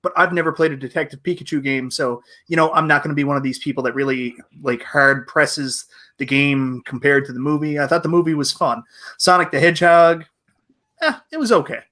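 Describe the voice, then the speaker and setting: A playful man from Canada chatting on a gaming podcast, laughing easily. His voice is bright and a little high for a man. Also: brisk. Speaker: co-host. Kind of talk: casual chat.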